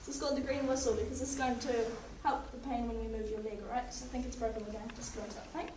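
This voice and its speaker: A young woman in a paramedic practical exam, calmly talking a patient through each step while losing her voice. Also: fairly high pitch, very fast. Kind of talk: narrating a clinical skills demonstration. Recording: echoey, distant, noisy room.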